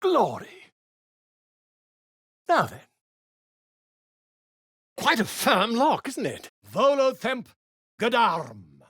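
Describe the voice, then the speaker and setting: A flamboyant, self-important male scribe delivers game lines in a theatrical, flowery manner. His breathy voice leaps through a huge pitch range while he takes his time. The studio sound is dry and close. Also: wildly swooping pitch, very slow, pauses often, a bit bright, no room sound, exaggerated voice. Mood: grandiose.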